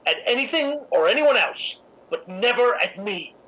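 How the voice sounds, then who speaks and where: A woman's voice: a little high, animated, normal speed, fairly bright tone, husky, low-quality recording. A woman playing a cold, cynical, domineering theatre columnist in a radio drama, speaking in an imperious, articulate way. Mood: cold, menacing. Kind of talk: 1950s radio drama acting.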